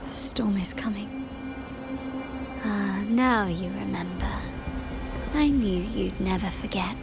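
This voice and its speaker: A woman playing a silky, mocking villainess in a video game, theatrical and deliberate, born from a heroine's own mind. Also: mid-range voice, vocal fry. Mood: sly, menacing. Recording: game music behind the voice. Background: game music.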